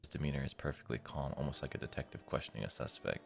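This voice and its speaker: A man's voice: a bass voice, rapid-fire, gravelly. A man live streaming, reading a visual novel's narration aloud and breaking off to comment casually. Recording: music behind the voice. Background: guitar music from the game.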